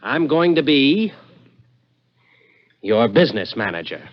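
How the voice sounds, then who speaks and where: An American actor playing a smooth-talking, scheming opportunist who also narrates an early 1960s radio suspense drama. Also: male voice, mid-range voice, very animated, slow pace. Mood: persuasive. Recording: muffled old radio broadcast, music behind.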